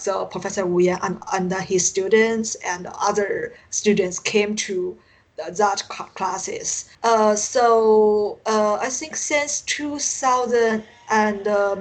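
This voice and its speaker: A woman from China with a Chinese accent, a native Mandarin speaker, answering interview questions as an academic guest, haltingly searching for words. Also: mid-range voice. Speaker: interview guest, university teacher and researcher. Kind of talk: unscripted interview conversation.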